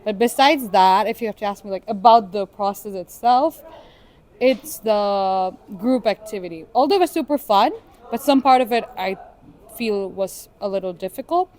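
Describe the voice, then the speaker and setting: A young woman from Bangladesh answers interview questions at an unhurried pace, choosing her words with care. Her voice sits at an ordinary middle pitch. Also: lively intonation, a little mellow. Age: young adult. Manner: thoughtful, slow-spoken, with frequent "super". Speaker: student interviewee.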